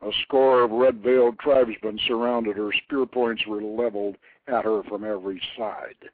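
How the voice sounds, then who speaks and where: A man narrating an ancient legend as a storyteller, with theatrical, grandiose and deliberate phrasing. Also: on the low side, fairly even intonation, dry recording. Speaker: storyteller, host reading his own novelette. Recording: very dry internet radio, narrow phone-like band.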